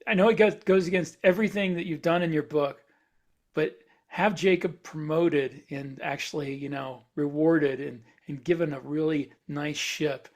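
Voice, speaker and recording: A man co-hosting a podcast, brainstorming casually and haltingly, repeating words as he thinks. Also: a little high. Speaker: podcast co-host and writer.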